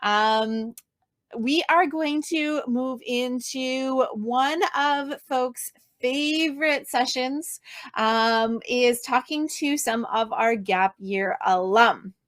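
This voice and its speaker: A woman's voice: sits high, very slow, a bit bright. A woman hosting an online panel, enthusiastic and chatty with lots of fillers, on a video call. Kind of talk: upbeat live-event hosting.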